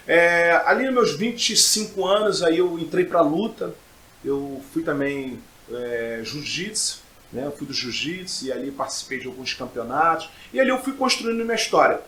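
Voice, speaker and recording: A man's voice: fairly high pitch, expressive, moderate pace, fairly bright tone, roomy sound. A man, middle-aged, giving personal testimony as a podcast guest in an emphatic way, repeating phrases for effect. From Brazil. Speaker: podcast guest, pastor and preacher.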